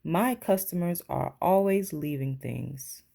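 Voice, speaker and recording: A woman's voice: sits low, expressive, slow, gravelly, dark tone, heavily acted. A woman voicing a courteous male laundromat manager who calls out in a children's storybook. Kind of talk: children's storybook read-aloud.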